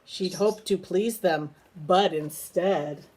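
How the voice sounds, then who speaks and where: A woman's voice: lowish voice, a little mellow, low-quality recording. A woman reading a children's picture book aloud, breaking off for chatty, coaxing questions to a small companion. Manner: unhurried, asks simple coaxing questions. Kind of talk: children's read-aloud with chatty asides to a bird.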